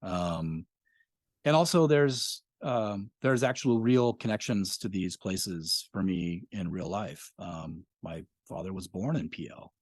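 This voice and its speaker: A man's voice: sits low, slow, no echo, clean studio sound. A middle-aged man, a podcast creator talking as a guest in a thoughtful, hesitant way. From Washington State. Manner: thoughtful, hesitant, many fillers and restarts. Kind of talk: casual interview chat.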